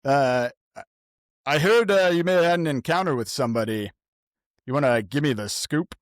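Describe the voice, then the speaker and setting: A man's voice: on the high side, lively intonation, normal speed, dry recording, clean studio sound, pitched above the performer's own voice, close to an everyday voice. A man as a glib, pushy government agent. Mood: glib, persuasive.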